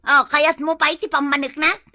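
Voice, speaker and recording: A woman's voice: sits high, flat delivery, fairly quick, pushed and bright, no room sound, clean studio sound. A woman with a Filipino accent playing a poor, whiny mother who pleads and complains, in a comedic radio drama. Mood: pleading.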